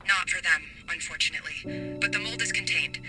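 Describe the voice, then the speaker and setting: A man plays a sober military teammate in a video game, clipped and matter-of-fact. His voice is high for a man, with loud music over it. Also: a little fast, natural voice, low-quality recording. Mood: sober, businesslike. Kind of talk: video game cutscene acting.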